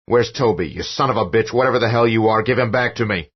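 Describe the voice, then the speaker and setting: A man's voice: deep voice, fairly quick, breathy edge. A man playing a frantic frightened father. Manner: urgent, demanding and shouting. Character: frightened desperate father, fierce and shaken. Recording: dull lo-fi audio.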